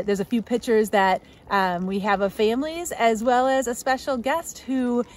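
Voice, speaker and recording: A middle-aged woman giving upbeat, thankful church announcements outdoors by a snowy shore, filmed on a phone at arm's length. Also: on the high side. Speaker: church education leader. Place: snowy lakeshore at dusk, outdoors.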